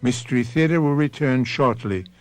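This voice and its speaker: A man's voice: medium pitch, moderate pace, dry recording. A man hosting a radio mystery drama, reading theatrical scripted narration in a portentous, rhetorical manner. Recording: radio broadcast with faint music.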